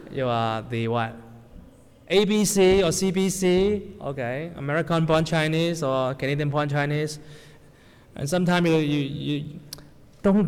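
Man preaching, mid pitch, keeps asking "right?", hall echo. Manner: non-native English with grammar slips, asks the audience "right?". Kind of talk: sermon to a congregation. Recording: long hall reverb.

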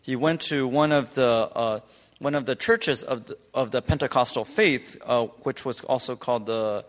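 Male consecutive interpreter, mid pitch, hesitant delivery. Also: moderate pace, big-room sound. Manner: hesitant, with many fillers and restarts.

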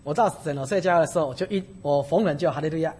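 A man preaching in Chinese and animatedly telling a story, pausing for an interpreter. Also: fairly high pitch, slightly rough. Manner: animated storytelling, acting out dialogue. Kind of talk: sermon in Chinese with consecutive interpretation.

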